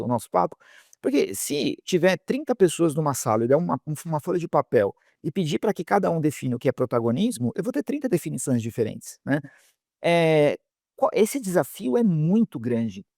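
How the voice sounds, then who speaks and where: Thoughtful Brazilian host, slightly high voice. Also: male voice, sing-song, a little fast, creaky, a little mellow, no room sound, studio quality. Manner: thoughtful, hesitant fillers "eh", restarts, asks long questions. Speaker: interviewer host. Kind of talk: casual interview conversation.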